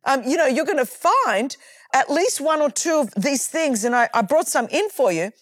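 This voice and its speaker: Animated Australian woman preacher, high voice. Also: normal speed, no echo. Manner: animated and emphatic, with conversational asides and repeated words. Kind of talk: sermon.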